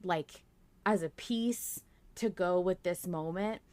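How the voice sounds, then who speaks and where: Casting: female podcast co-host, lowish pitch, chatty and informal, recorded very dry. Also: slow pace, a little mellow, dry recording. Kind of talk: casual chat.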